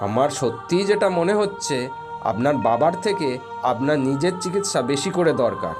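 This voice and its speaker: High-voiced, wry village doctor. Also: male voice, sing-song, moderate pace. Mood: exasperated, wry. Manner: matter-of-fact, drily teasing. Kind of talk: animated cartoon dialogue. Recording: music behind the voice.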